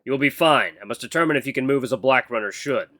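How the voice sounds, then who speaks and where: A man's voice: mid-range voice, fast, pushed and bright, lightly acted. A man voicing a stern, socially awkward beast-man mentor who speaks in a stiff, formal, blunt way.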